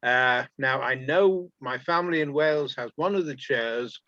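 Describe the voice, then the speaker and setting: A man's voice: mid-range voice, moderate pace, pushed and bright, no echo. Man from England, dry muffled sound. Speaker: panel participant. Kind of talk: casual recollection. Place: video call. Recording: dry, muffled video call audio.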